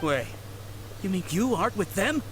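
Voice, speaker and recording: A whiny game goon making excuses, high n animated voice, noisy behind. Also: male voice, moderate pace. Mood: grudging, shaken. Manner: defensive, complaining. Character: whiny, cowed hired thug pleading innocence. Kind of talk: English video game voice acting. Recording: clear background game noise.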